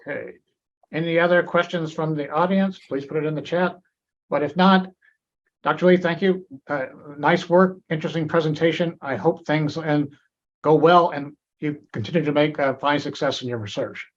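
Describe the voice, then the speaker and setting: A man hosts a medical grand rounds webinar and fields questions for the speaker. His voice sits a bit high, and he halts often with fillers and restarts. The audio is dull and muffled, like a video call. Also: steady tone, moderate pace. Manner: hesitant, many fillers and restarts. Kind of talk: moderating a webinar Q&A.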